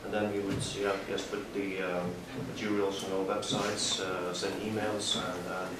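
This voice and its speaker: A man gives a halting, explanatory presentation as a panelist. His voice is fairly low and heard from far off, with very strong room echo. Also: flat delivery. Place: indoor panel discussion room. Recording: distant echoey room, clear noise.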